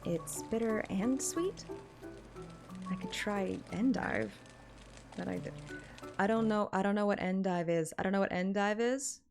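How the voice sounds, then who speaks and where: A woman streamer talking off the cuff to her viewers. She is animated and self-deprecating, swears a little, and speaks at a medium pitch with light music underneath. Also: measured pace, long pauses. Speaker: live streamer and amateur voice actor. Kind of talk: casual livestream chat. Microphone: microphone at adjustable close distance.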